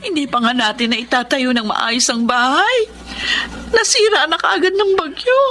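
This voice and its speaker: A woman's voice: high-pitched, animated, moderate pace, loud music behind the voice. A woman playing an anxious, weary mother and wife in a Tagalog radio drama. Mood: despairing.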